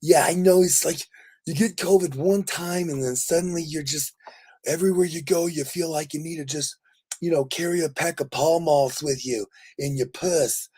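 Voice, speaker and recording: A man hosts a casual livestream in a somewhat higher-pitched voice that is raspy and worn from too much talking. He rambles along, effusive and jokey, addressing his viewers like old friends. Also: normal speed, a little mellow. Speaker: livestream host. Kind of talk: casual chat with live viewers.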